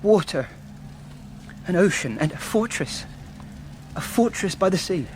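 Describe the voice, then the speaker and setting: A man's voice: high-pitched, expressive, a little slow. An actor playing a troubled young stable boy in a radio drama, earnest, insistent and questioning. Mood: troubled, searching. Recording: faint background noise.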